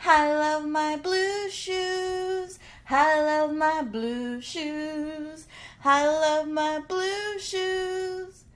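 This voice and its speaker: Woman playing a happy-go-lucky male cat, high sing-song voice, slow, unbothered, children's storybook style. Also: steady tone. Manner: singing, repetitive and unbothered. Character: cheerful, easygoing male cartoon cat singing along.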